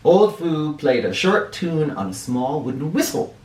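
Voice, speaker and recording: Slow, animated man reading aloud to children. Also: on the high side. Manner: animated, with explanatory asides. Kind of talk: expressive children's storybook read-aloud. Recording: roomy sound, distant microphone.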